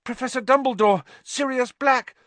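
A man voicing a headstrong, desperate teenage schoolboy who pleads urgently and is quick to shout, in an audiobook. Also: on the high side, gravelly, no echo, pitched above the performer's own voice, full character voice. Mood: frustrated, furious.

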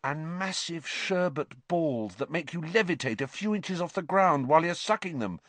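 A man voicing an excitable, blunt teenage schoolboy wizard in a storybook reading, with eager, dramatic delivery. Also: medium pitch, normal speed, no echo, pitched above the performer's own voice. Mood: dreamy.

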